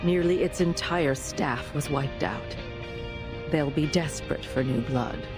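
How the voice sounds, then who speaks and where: Low-voiced, commanding senior official. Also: female voice. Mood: solemn, measured. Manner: formal, measured, decisive. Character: composed, authoritative senior official, a woman in command. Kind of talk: dramatic video game voice acting. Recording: music under the voice. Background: background music.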